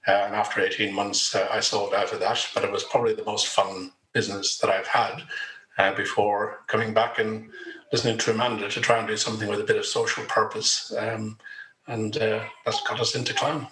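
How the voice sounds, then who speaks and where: A man's voice: lowish voice, flat delivery, a little fast, sharp tone, roomy sound, low-quality recording. A middle-aged Irish man chatting as a podcast guest, rambling with frequent ums and uhs. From Ireland. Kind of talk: conversational interview.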